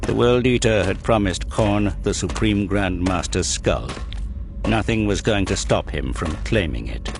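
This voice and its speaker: A man giving a measured, grave, scripted narration of a dramatic audio story. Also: lowish voice, expressive, vocal fry. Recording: music behind the voice.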